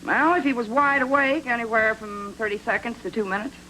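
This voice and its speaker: A high-voiced accomplice in a 1940s noir. The delivery is cool, clinical and matter-of-fact, over a slightly noisy vintage soundtrack. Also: male voice, steady tone, fairly quick, pushed and bright. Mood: coolly practical. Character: coolly clinical accomplice who drugs captives. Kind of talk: 1940s film noir acting. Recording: humming, noisy old film soundtrack.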